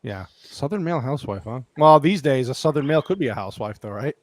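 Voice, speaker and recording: A man's voice: medium pitch, fast talker, no echo. A man co-hosting a podcast in casual, joking chat, rambling and swearing freely as he talks. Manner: animated, rambling, profane. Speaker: podcast co-host.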